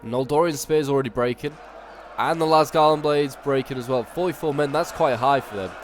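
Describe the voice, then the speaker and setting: A man's voice: mid-range voice, fairly quick, a little hiss or hum. Stuffy-nosed man commentating a strategy game. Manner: chatty and wry, with frequent ums and self-corrections. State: stuffy with a cold. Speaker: game channel host commenting a battle replay.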